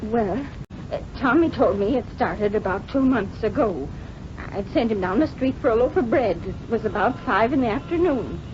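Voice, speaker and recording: A dull, noisy vintage radio recording of a woman acting as a working-class American mother. Her voice is fairly high. She is anxious, earnest and pleading. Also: expressive, moderate pace, lightly acted. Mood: worried, pleading. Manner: earnest, plaintive. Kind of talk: 1930s-40s radio drama acting.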